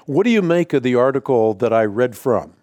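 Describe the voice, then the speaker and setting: Male radio host, mellow dark voice, measured delivery leaning on key words, dry studio sound. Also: mid-range voice, very animated, no echo. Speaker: radio talk show host. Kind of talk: scripted monologue then interview.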